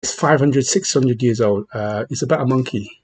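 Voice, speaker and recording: A man's voice: medium pitch, moderate pace, darkish tone, breathy edge. A middle-aged man who grew up in China, speaking with an English accent and a trace of his native Cantonese, giving casual gaming commentary full of restarts and repeated words. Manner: talks in bursts, many restarts and repeated words. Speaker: gaming channel host.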